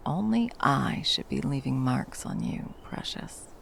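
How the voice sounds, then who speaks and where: A battle-hardened female monster hunter, voiced by a woman for an intimate ASMR roleplay, fiercely protective of a lover. The voice is slow and gravelly with wide, expressive swings, mixing dry menace with tenderness. Faint background noise sits underneath. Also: extremely low pitch, full character voice. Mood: possessive, protective.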